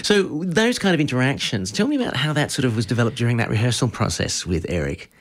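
A man's voice: medium pitch, sing-song, quick pace. A man hosting a radio interview, chatting eagerly and asking questions. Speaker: radio host.

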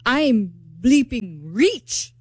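A woman's voice: on the high side, sing-song, extremely slow, no echo, clean studio sound. A young adult woman co-hosting a talk show, telling personal anecdotes in casual conversation.

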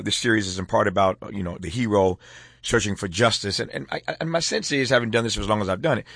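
A man hosting a radio interview, asking long, halting, reflective questions. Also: lowish voice, fairly bright tone, no echo, studio quality. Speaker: talk show host.

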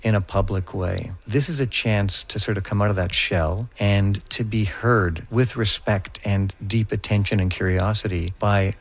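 A man's voice: fairly low pitch, fairly even intonation, normal speed, hardly any pauses. A man speaking as an arts curator, explaining an event articulately. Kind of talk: explanatory interview.

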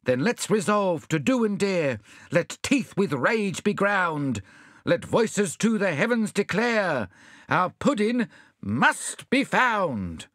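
A man's voice: sits high, big pitch swings, slow pace, fairly bright tone, dry recording, studio quality, voice pushed up, full character voice. A man voicing an eloquent male character who declaims rhyming speeches in a grand manner. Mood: rousing.

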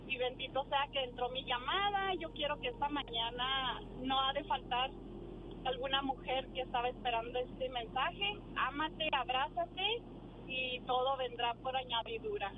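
Middle-aged woman caller, high-pitched, earnest and devout, heard over a dull phone line with car noise. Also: normal speed. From Juárez Valley, Mexico, born in Texas. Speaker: radio show caller. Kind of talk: casual testimony on a call-in. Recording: noisy muffled phone call, car ambience.

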